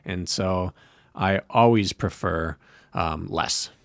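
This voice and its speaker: A man co-hosting a podcast in casual chat, relaxed and wry, sometimes searching for words. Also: low voice, slow, creaky, no room sound, studio quality. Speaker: podcast co-host.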